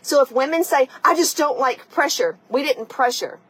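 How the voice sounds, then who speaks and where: A woman's voice: high-pitched, moderate pace, background noise. A woman podcast host and coach giving a blunt, profane, emphatic tough-love motivational talk, speaking conversationally and straight to the listener. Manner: conversational, addressing the listener directly.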